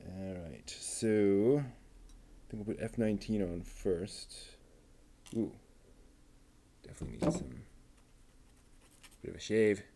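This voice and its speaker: Low-voiced man muttering through a model build. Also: vocal fry, fairly bright tone, a little hiss or hum. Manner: muttering to himself while assembling, casual. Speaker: model kit builder, streamer. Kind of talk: live-stream build commentary.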